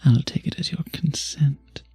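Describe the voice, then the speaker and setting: A man voicing a charming, seductive vampire in a romantic ASMR roleplay, husky and breathy with a courtly, teasing manner, often dropping to a whisper. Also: on the high side, mellow, heavily acted. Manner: slow, courtly, teasing, flirtatious. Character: charming, seductive male vampire at a masquerade ball.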